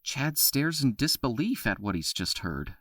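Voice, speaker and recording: Bright-toned male narrator. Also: medium pitch, big pitch swings, a little slow, no echo, clean studio sound. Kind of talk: scripted narration of action.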